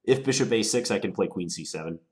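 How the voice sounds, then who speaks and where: A man with a stuffed-up voice from a cold, thinking aloud through his chess moves in a deliberate, musing way. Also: lowish voice, animated. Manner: deliberate, musing, unhurried analysis. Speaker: chess player commentating his own game. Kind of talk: thinking aloud while playing.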